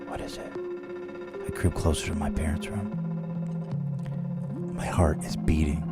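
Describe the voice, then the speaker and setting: Deep voiced guy telling a funny story all dramatic, music going underneath. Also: fairly even intonation, measured pace, creaky. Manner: dramatic, with sudden hushed whispers. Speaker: podcast host. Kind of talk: comic storytelling.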